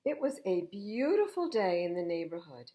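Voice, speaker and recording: An older woman hosts a children's storytime. She reads with emphasis and wide, lively swings in pitch, her voice a little low, and adds chatty asides for young listeners. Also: moderate pace. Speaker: library storytime reader.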